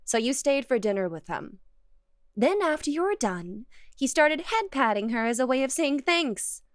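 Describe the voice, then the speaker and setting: A woman's voice: high voice, sing-song, moderate pace, no room sound. A woman playing a jealous, possessive young cat girl girlfriend in an ASMR roleplay, speaking to the listener in a coy, accusing yet affectionate way. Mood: hurt, accusing.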